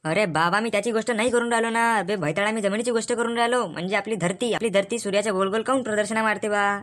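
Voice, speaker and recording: A woman's voice: medium pitch, quick pace, a bit bright, close to an everyday voice. A woman voicing a hot-tempered, threatening male tuition teacher in a comic cartoon, with a Vidarbha Marathi accent and scolding, repeated questions.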